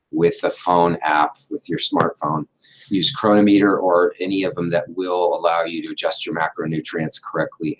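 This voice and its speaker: A middle-aged man, a doctor hosting a livestream, explaining in an unhurried, casual way with many fillers, his voice a little hoarse as he is losing it. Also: low-pitched, fairly bright tone, low-quality recording.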